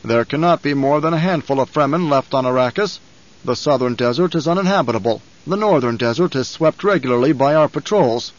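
A man's voice: mid-range voice, expressive, fairly quick, dull audio, close to an everyday voice. A man voicing a stern, probing male count in an audiobook, speaking formally and pointedly with veiled threat. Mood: pressing, displeased.

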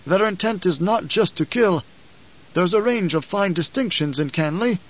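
Man acting out elderly male advisor, high pitch, grave, deferential, hesitant, audiobook drama, muffled sound. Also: expressive, moderate pace. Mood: insistent. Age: older. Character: loyal old spymaster advisor, grave, anxious, deferential.

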